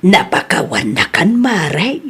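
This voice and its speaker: Woman acting as stubborn wife, husky voice, unhurried emphatic talk, comedy drama. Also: fairly low pitch, big pitch swings, a bit bright, full character voice. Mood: conciliatory. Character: proud, stubborn, debt-dodging wife who softens. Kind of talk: Filipino comedy drama dialogue in Ilocano.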